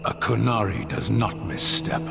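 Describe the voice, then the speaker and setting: An actor playing a proud, aloof giant warrior in a fantasy game, curt and deadpan. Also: male voice, on the low side, a little slow, gravelly, exaggerated voice. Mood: cold, curt. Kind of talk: fantasy video game voice acting. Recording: echoing hall under loud music.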